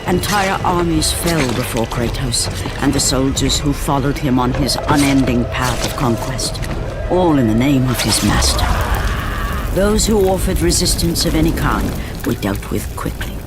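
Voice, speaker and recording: Solemn male narrator over loud score. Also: medium pitch, expressive, normal speed, loud music behind the voice. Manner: solemn, grave storytelling. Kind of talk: dramatic scripted narration. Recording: game cutscene with very loud score.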